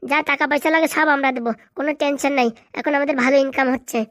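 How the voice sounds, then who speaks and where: A woman's voice: high voice, steady tone, racing, fairly bright tone, no room sound, studio quality. A woman voicing a doting, simple-minded village uncle in a comedy cartoon, with a fussy, folksy, insistent way of talking. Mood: protective, insistent.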